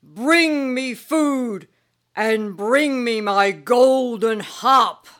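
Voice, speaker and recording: A huge, greedy giant, as a woman puts him on for a storybook, growling every word out in a loud, drawn-out boom. He chants his threats at a crawl, pitched a little above the reader's own voice, and sounds menacing throughout. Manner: slow, booming, growling chant.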